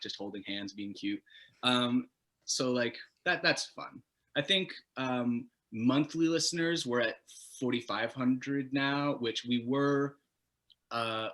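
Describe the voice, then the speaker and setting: A man speaking as a guitarist interview guest, telling stories in a casual, rambling, animated and self-deprecating way. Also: mid-range voice. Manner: animated, self-deprecating, casual swearing, laughs while talking.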